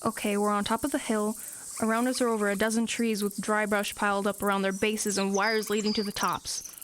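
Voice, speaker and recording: A woman playing a plucky teenage girl in an audio drama, matter-of-fact, earnest and brisk. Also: mid-range voice, flat delivery, slight background noise, lightly acted. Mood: earnest, determined.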